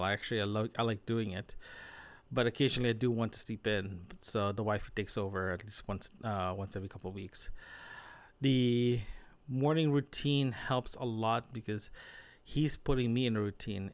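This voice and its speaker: Man rambling through a casual audio diary. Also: mid-range voice. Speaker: solo podcaster, remote-working designer. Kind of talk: casual audio diary monologue about daily routine.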